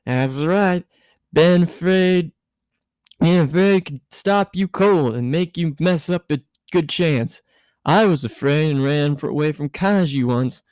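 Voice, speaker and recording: A man's voice: a little high, slow, darkish tone, smooth, no echo, pitched above the performer's own voice. A man voicing a tipsy, giggly, bossy woman handing out rambling, emphatic love advice in a fan fiction reading. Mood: earnest, tipsy.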